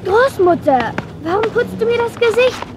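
A woman's voice: very high voice, lively intonation, normal speed, noisy background. A woman voicing a curious, innocent little boy dinosaur in a children's cartoon audio drama.

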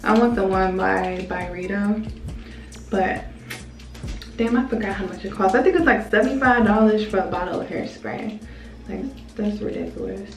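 A woman's voice: mid-range voice, normal speed, vocal fry. A woman in her late twenties chatting casually to her vlog camera while doing her hair, drawling and talking to viewers as y'all. She is a little under the weather. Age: 20s. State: a little sick. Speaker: vlogger. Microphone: small camera on tripod. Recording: roomy echo, mic at a distance, music behind.

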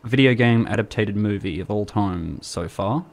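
A man with an Australian accent co-hosting a radio chat show, giving his take on a film in measured, slightly formal phrasing. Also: fairly low pitch, creaky. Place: community radio studio.

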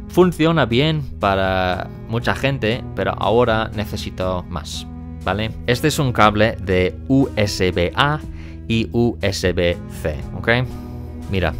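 A young man whose first language is English, teaching a music tutorial in careful, hesitant Spanish with fillers, speaking to a desk microphone in his small home studio. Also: sits low. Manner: unhurried, hesitant non-native Spanish with fillers. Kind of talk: casual tutorial to camera. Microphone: desk microphone in front.

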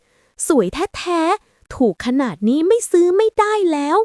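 A woman's voice: extremely high pitch, sing-song, a little slow, darkish tone, dry recording, clean studio sound, pitched above the performer's own voice, exaggerated voice. A woman voicing an eager, gushing older village market woman in a storybook tale.

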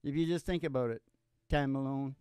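A man's voice: medium pitch, fairly quick, dark tone, clear, resonant tone, dry recording, clean studio sound. An older man hosting with folksy, playful, joking banter at a stand microphone. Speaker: podcast host and country singer. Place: small curtained studio. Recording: live acoustic guitar behind the voice.

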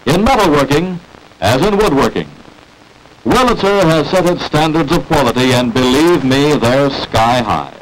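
An old 1940s film soundtrack carries an American man narrating an industrial promotional film. His voice sits a little high and moves with lively rises and falls. He phrases his script deliberately and emphatically, like a salesman making his case. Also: poor audio.

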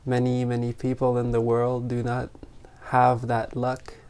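This is a meditation teacher of unclear sex with a soft-edged, mellow voice. The delivery is very slow and even, with long pauses between short phrases. It sounds like gentle, unscripted guidance for a meditation group. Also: mid-range voice, smooth. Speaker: meditation teacher leading an online retreat. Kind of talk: guided meditation, gentle spontaneous talk.

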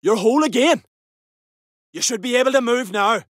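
Video game commando, Irish male: gruff soldier, high-pitched forceful delivery, brusque urgent callouts. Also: animated, normal speed, no echo, clean studio sound, full character voice. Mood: steady, comradely. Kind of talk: game combat voice lines, short barked callouts. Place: studio, dry close recording.

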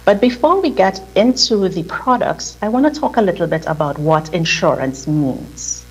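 A middle-aged woman with a Trinidadian accent presents over a video call, her voice somewhat low and husky. She speaks in a measured, careful way, slowing herself down on purpose. The sound comes from a laptop call played into a meeting room. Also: expressive, poor audio. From Trinidad and Tobago. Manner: measured, deliberately slowed delivery. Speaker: insurance presenter. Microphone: video call on laptop, played through room speakers.

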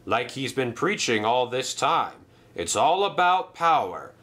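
A man's voice: mid-range voice, sing-song, measured pace, bright, forceful tone. A man voicing a fussy male railway boss who speaks properly and exclaims. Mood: scheming.

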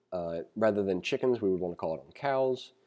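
A man with a low, very mellow, relaxed voice. He works as a programming instructor, reading a text aloud in a casual way. Between passages he thinks out loud and explains things informally. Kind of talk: casual read-along tutorial, reading aloud and commenting. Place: screen-recorded voice-over.

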